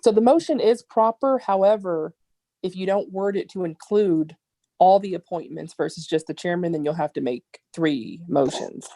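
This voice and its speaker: A woman's voice: on the low side, moderate pace, dark tone, no echo. A woman attorney giving precise, explanatory procedural advice in a formal online meeting. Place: video conference call.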